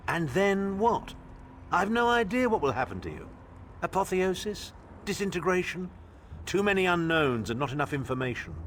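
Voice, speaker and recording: An actor playing a grim, proud companion to a hero in a video game, speaking bluntly and solemnly. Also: male voice, mid-range voice, a bit bright, slight background noise, lightly acted.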